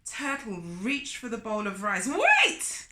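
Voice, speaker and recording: Children's storyteller: woman, high and very animated, unhurried, asking questions and commenting on the characters, echoey room. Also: very noisy. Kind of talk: expressive story reading with asides to young listeners.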